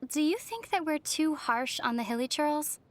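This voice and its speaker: A woman voicing a young traveler heroine in a video game, gentle and wry with a soft, teasing manner. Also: high voice, lively intonation, moderate pace, no room sound. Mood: calm.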